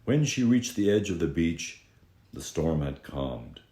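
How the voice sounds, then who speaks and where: A male storyteller with an extremely low voice reads a fairy tale aloud. His delivery is dramatic and expressive, stretching words for emphasis, and the room adds a clear echo. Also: a little slow. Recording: echoey room.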